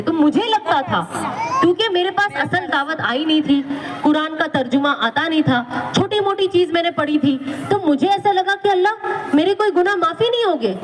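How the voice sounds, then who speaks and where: A woman's voice: very high voice, big-room sound. A woman giving an earnest Islamic talk. She repeats phrases for emphasis. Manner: earnest, repeats phrases, acts out quoted people. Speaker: religious speaker.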